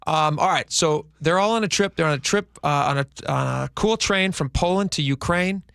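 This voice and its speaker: A man's voice: a little high, moderate pace, gravelly, fairly bright tone, clear, resonant tone, no echo, studio quality. A man co-hosting a radio show, chatting and joking in a casual, self-deprecating way. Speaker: radio co-host. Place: radio studio. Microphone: studio microphone.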